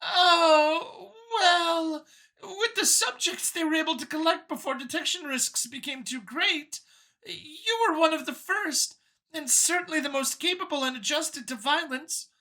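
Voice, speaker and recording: A woman's voice: extremely high pitch, unhurried, bright, forceful tone, voice pushed up, heavily acted, rough recording. A woman voicing a nervous, boastful female alien scientist from an audio story, whiny and gushing as she justifies her elitist views. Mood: nervous, whining. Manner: gushing, over-eager justifying.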